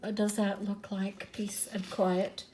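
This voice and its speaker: Older woman reading a storybook, slow and mellow. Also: mid-range voice, level tone, a little hiss or hum. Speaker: storybook reader. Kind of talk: read-aloud children's story with asides.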